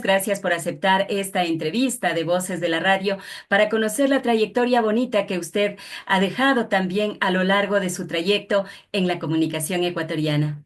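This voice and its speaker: A woman with an Ecuadorian accent presenting an interview programme in a formal, courteous manner. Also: fairly low pitch. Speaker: radio programme host and interviewer.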